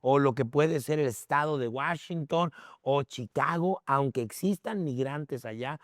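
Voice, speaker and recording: A man's voice: mid-range voice, a little slow, dry recording, studio quality. A man with a Mexican accent explaining things as a podcast guest in a conversational, didactic way, with short tag questions to check in. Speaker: guest expert on history.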